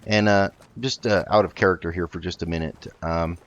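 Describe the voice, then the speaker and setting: A man's voice: low voice, fairly even intonation, a little fast, highs cut off. A man giving casual video game commentary, thinking aloud in a hesitant way as he plays. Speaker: host of a video game roleplay let's play.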